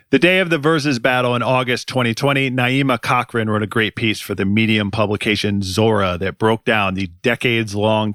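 A man hosting a music podcast, reading scripted commentary in a dry, wry, deadpan way. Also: lowish voice, no room sound. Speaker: podcast host.

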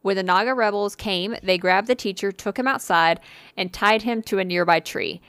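Woman, casual podcast storyteller, mid-pitched, dry close sound, reads with asides and fillers. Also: normal speed, a bit bright, dry recording. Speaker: podcast co-host reading listener stories. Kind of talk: casual storytelling read-aloud.

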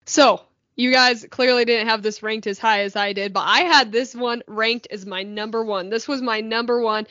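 Female podcast analyst, higher voice, enthusiastic, dry muffled audio. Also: steady tone, moderate pace, bright, forceful tone, no echo. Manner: earnest, enthusiastic praise of plays. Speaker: podcast co-host, hockey analyst. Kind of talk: casual podcast discussion.

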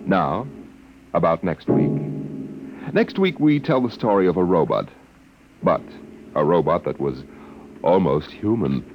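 An actor playing a grave, knowing storyteller who narrates science fiction in measured, portentous old radio style. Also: male voice, deep voice, big pitch swings, music in the background, exaggerated voice. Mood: ominous. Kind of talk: 1950s radio anthology narration. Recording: muffled, very noisy vintage radio recording.

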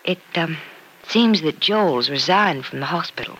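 Casting: stubborn, sincere young American woman for classic radio serial, crisp forward tone, slightly noisy old broadcast. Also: sits low, expressive, measured pace, fairly bright tone. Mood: earnest, troubled. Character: earnest, stubborn young woman pleading with her suitor. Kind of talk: old-time radio soap opera acting.

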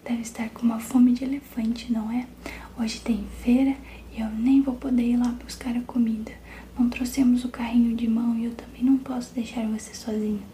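A woman's voice: on the high side, little pitch movement, fairly quick, dark tone, echoey room, a little hiss or hum, natural voice. A woman whispering as an earnest young boy. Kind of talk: whispered storybook reading.

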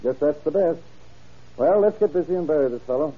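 A methodical middle-aged country sheriff in a radio crime drama. His voice is rough-edged and highly inflected, with plain, folksy phrasing. The sound is the dulled one of a vintage broadcast. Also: expressive, narrow, tinny audio. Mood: methodical, pondering. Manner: folksy, plain-spoken, deliberate. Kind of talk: old-time radio crime drama acting.

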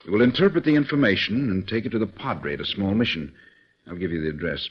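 An actor playing a calm, authoritative male government chief giving measured instructions in a 1940s radio drama. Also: on the low side, husky. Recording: dull old radio recording.

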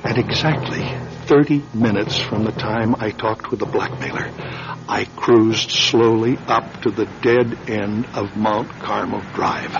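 A desperate man unburdening himself in a radio drama. His voice is gravelly and he stumbles back over his words in distress. The recording is dull and lo-fi. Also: lowish voice, fairly even intonation, over music. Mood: haunted confession. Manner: halting, repeating words as if distraught. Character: anguished, desperate man confessing to his wife. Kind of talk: dramatic radio play acting.

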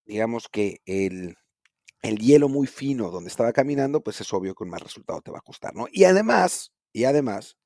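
A man's voice: medium pitch, animated, brisk, dark tone, dry recording, studio quality. A man with a Mexican accent co-hosting a sports podcast, talking casually and thinking aloud with frequent tag questions. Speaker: podcast co-host.

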